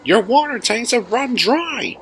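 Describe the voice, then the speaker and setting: A sensible engine driver who explains things plainly and calmly, as a man voices him. His voice sits fairly high, with a little hiss behind it. It is a storybook reading. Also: a little slow, exaggerated voice. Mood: matter-of-fact. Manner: plain and matter-of-fact.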